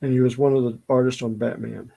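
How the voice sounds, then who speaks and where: Gravelly middle-aged man, Southern US accent. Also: medium pitch, level tone, fast talker, warm tone, highs cut off. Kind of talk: casual chat, storytelling.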